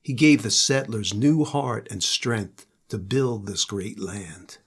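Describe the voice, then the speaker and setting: An older man, a grandfather, reading a children's storybook aloud in a husky voice. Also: lowish voice, slow pace.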